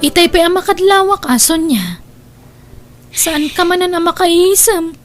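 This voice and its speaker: A woman's voice: very high-pitched, measured pace, over music. A woman with an Ilocano accent playing a frank female neighbor and friend in an audio drama. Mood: concerned.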